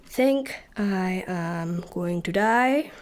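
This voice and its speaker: A woman's voice: on the low side, lively intonation, drawn-out words. An actress with a Scottish accent playing a scornful, gleefully sinister female interrogation officer in a comic radio play. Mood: smug scorn.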